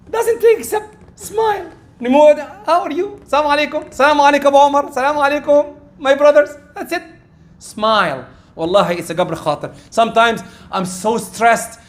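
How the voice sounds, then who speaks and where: Middle-aged preacher, high voice, animated and rising to loud peaks. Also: male voice. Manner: animated, acts out quoted exchanges, rising loud when excited. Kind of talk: sermon.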